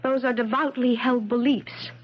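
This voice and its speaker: An actress playing an earnest, self-sacrificing woman professor in a 1970s radio drama, speaking haltingly with dramatic phrasing. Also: fairly high pitch, steady tone, natural voice. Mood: anguished, resolute. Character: earnest, self-sacrificing female professor, anguished and secretly lovelorn. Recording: dull vintage radio broadcast sound.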